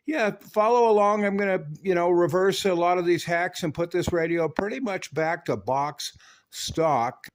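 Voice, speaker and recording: A man with a mid-pitched voice narrates a repair tutorial. He sounds like a seasoned hands-on technician, mixing technical detail with folksy, colorful expressions. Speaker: radio repair technician and presenter.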